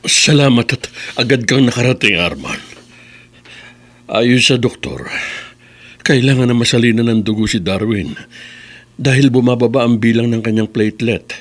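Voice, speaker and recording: A man playing a caring older family elder in a Filipino radio drama, serious and measured. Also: mid-range voice, faint music behind, natural voice. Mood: grave, urgent.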